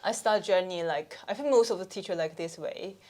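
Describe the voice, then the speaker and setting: A woman teacher with a Hong Kong accent, Chinese as her first language, talks casually on a podcast. She hesitates often and restarts her sentences. Her voice sits at an ordinary middle pitch. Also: brisk, darkish tone. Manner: hesitant, with frequent fillers and restarts.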